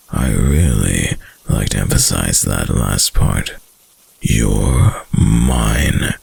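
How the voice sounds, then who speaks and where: An extremely deep, breathy wolf-boy boyfriend, acted by a man for an ASMR roleplay, full of heavy gravel. He murmurs slowly and intimately, doting and possessive, with a dominant streak. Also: darkish tone, no room sound, full character voice. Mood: possessive cooing.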